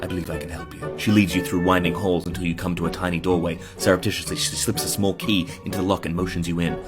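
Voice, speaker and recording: A man's voice: low-pitched, little pitch movement, fast talker, over music. A man doing scripted comedic narration of fantasy skits in a wry, theatrical way.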